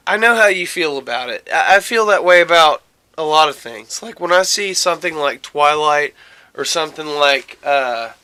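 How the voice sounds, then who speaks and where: A man hosting a casual, rambling podcast chat, haltingly searching for words and often repeating his phrases. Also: on the high side, lively intonation, bright, forceful tone, clear, resonant tone. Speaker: podcast host.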